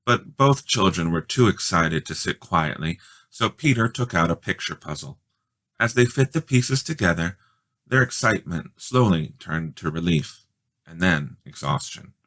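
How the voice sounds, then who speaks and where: A man reading a children's storybook aloud as a dramatic, expressive narrator. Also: low voice, a little slow. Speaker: storybook reader.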